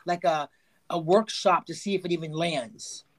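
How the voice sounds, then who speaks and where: A man's voice: fairly high pitch, fairly even intonation. Playful man co-hosting. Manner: playful, quick to laugh. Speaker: host. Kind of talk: casual chat.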